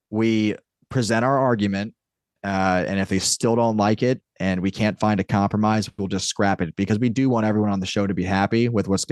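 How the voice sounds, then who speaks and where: A man's voice: lowish voice, darkish tone, no room sound. A man in a casual interview, explaining things as a creator in a rambling way that often interrupts itself. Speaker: podcast guest, audio drama creator and writer.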